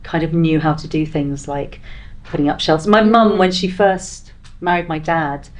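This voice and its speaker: Female panel guest, lowish pitch, informal conversational delivery. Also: fairly even intonation, normal speed, rough recording. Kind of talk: casual live panel chat.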